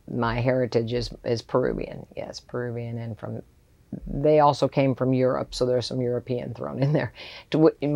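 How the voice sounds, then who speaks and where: A woman's voice: extremely low pitch, creaky, dry recording. A middle-aged woman, a charter company owner, chatting casually as a podcast guest, telling stories animatedly, laughing often and acting out what people said. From raised abroad, settled in Houston. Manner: animated storyteller who acts out quoted remarks and laughs often.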